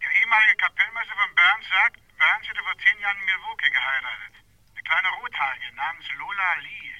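An actor playing a brisk, businesslike male informant in a German radio crime drama, clipped and heard through a telephone. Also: high voice, bright, forceful tone, heavily acted, rough recording. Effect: telephone filter.

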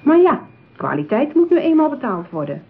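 A businesslike saleswoman in a radio play, formal and coaxing. Her voice is fairly high and swings expressively. The recording is narrow and tinny, like a telephone line. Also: sing-song, fairly quick. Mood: persuasive, haughty. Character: persuasive, businesslike saleswoman at a marriage bureau.

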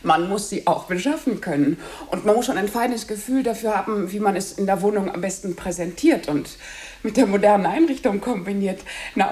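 An actress playing an effusive, house-proud wife who welcomes a guest, gushing and proudly chatty in a radio play. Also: female voice, mid-range voice, darkish tone, natural voice.

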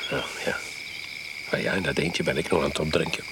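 A man's voice: extremely low pitch, steady tone, a little fast. An actor in a radio play voicing a sly, menacing man who mocks his guest and then barks orders at him. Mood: teasing. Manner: mocking, then barking orders. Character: menacing middle-aged man, sly host. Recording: loud hiss under the voice.

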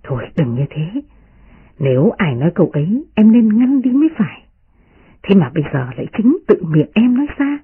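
Woman doing a young noble guy, lower voice, tired and fed up, husky, tinny radio. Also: very animated, brisk. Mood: exasperated. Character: young nobleman, exasperated and soft-hearted. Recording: muffled radio broadcast.

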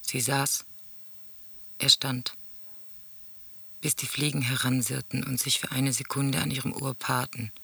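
An actor playing a sombre teller in a radio drama, reciting grim memories and letters in a solemn, measured, husky voice. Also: male voice, medium pitch, little pitch movement, pushed and bright, no room sound, heavily acted. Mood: grave.

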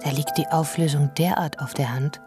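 Woman doing wry fatalistic narrator, low voice, reflective, piano clearly behind. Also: fairly even intonation, close to an everyday voice. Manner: reflective, sardonic, measured. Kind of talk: radio drama narration.

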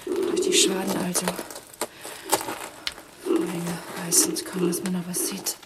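An actor playing a dazed lone survivor in a radio play, muttering a monologue in short fragments. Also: male voice, high voice, monotone. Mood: dazed, searching. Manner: muttering, clipped fragments with pauses. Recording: heavy background noise, off-mic.

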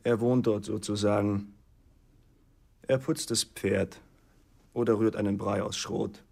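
Sly, sardonic farm servant. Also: male voice, fairly low pitch, fairly even intonation, gravelly, darkish tone. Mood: knowing, dry. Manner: dry, deliberate, insolent. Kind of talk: German radio drama acting.

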